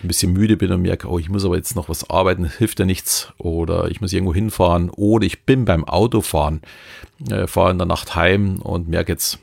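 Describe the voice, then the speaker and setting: A man's voice: deep voice, fairly even intonation, brisk, vocal fry, a little mellow, dry recording, clean studio sound. A man with a Bavarian accent, hosting a podcast on hypnosis, who talks in a loose, chatty way with many fillers. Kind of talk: casual podcast talk.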